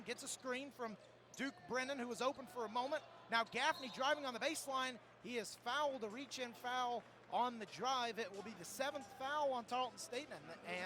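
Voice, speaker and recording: Guy doing live sports radio play by play, really high voice, noisy arena behind him.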